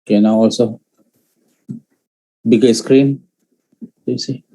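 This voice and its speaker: A man whose first language is Sinhalese, teaching Pali grammar in an online lecture, speaking in halting phrases with many fillers and restarts. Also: mid-range voice, fairly even intonation, dark tone. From Sri Lanka.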